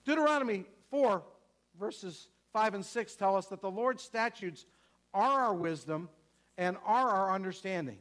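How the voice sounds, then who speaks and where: A man's voice: sits high, lively intonation, measured pace, fairly bright tone. A man preaching a sermon emphatically, repeating phrases and putting questions to the congregation.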